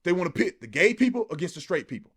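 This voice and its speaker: A male political commentator with a high voice for a man and a lively, swinging intonation. He speaks emphatically and colloquially, driving points home with rhetorical questions. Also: fast talker, breathy edge.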